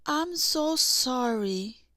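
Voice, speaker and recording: An earnest, soft-hearted young mountain man from a storybook, acted by a woman reader. The voice sits high and moves at a slow pace. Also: expressive, no room sound, studio quality, heavily acted. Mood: sad.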